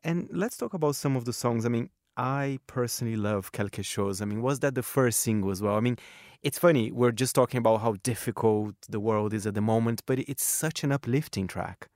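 A man's voice: mid-range voice, a little fast, darkish tone, no echo, studio quality. A middle-aged man with a Brazilian Portuguese accent, a radio culture correspondent interviewing a guest in a chatty way, often saying "I mean". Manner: chatty, many "I mean" fillers. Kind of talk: friendly conversational interview.

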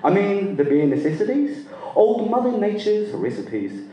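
A man's voice: sits high, very animated, unhurried, warm tone. Guy telling his story live, rambly and laughy, big echoey room, kinda far from the mic. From Sri Lanka. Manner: casual, rambling, laughs easily. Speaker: storyteller guest. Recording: roomy echo, from off-mic.

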